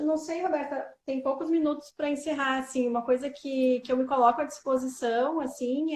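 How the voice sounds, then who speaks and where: Coach woman, reflective live chat, bright high pitch, roomy sound. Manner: warm reflective, many fillers like "né" and "assim". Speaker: guest coach.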